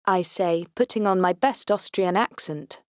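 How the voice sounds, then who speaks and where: A woman's voice: lowish voice, measured pace, dry recording, clean studio sound. A woman reading a science fiction comedy audiobook aloud in the first person, with a wry, tongue-in-cheek delivery. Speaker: audiobook narrator.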